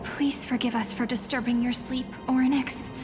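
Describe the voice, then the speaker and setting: A woman playing a gentle, sorrowful young fantasy heroine in a game, speaking with earnest care. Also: sits high, little pitch movement, normal speed, close to an everyday voice. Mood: gentle, solemn. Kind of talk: scripted game voice acting. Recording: long hall reverb, music behind. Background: music.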